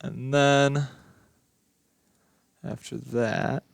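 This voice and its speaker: A young adult man giving a casual software demonstration as a student, informal, offhand and a little tentative. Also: medium pitch, level tone, extremely slow, creaky, no echo. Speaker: student presenting a final project.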